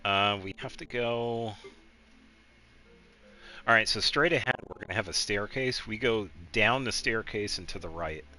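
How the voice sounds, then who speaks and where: Bright-voiced low man talking through gameplay. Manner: halting, meandering explanations. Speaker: video game streamer. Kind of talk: casual gameplay commentary, guiding another player.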